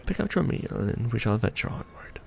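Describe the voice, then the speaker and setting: A man playing a centuries-old vampire lord in a romantic ASMR roleplay, proud and doting, speaking formally with theatrical, measured phrasing. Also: medium pitch, sing-song, gravelly, a little mellow, faint music behind. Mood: tender, courteous.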